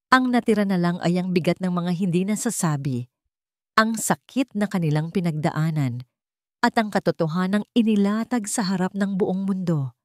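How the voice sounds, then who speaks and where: A woman's voice: lowish voice, darkish tone, dry recording, clean studio sound. A woman with a Filipino accent reading a romance story as a scripted, dramatic narration.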